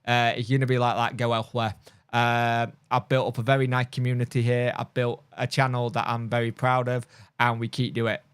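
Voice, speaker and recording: A man's voice: mid-range voice, little pitch movement, a little fast, fairly bright tone, clean tone, no echo. A man with a mild speech impediment, tired, hosting a casual live stream and chatting bluntly through viewer comments with lots of fillers and restarts. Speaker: football fan channel host.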